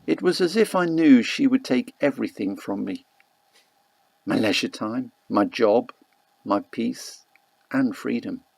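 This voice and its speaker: A man narrating a first-person literary novel as an audiobook, reading in a measured, deliberate way. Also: mid-range voice, animated, gravelly, a little mellow, dry recording.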